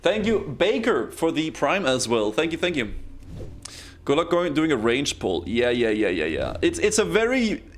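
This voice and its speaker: A man from Denmark, chatting excitedly with his viewers while he streams a game. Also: on the high side, moderate pace, slight background noise. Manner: excitable, chatty, talks straight to chat. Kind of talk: casual live-stream commentary.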